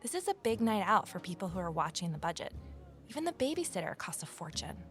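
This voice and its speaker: An actress playing a wary amateur sleuth and former journalist in a naturalistic audio drama, speaking in a cautious, matter-of-fact way. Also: female voice, lowish voice, sing-song, quick pace, faint music behind. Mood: curious, conspiratorial.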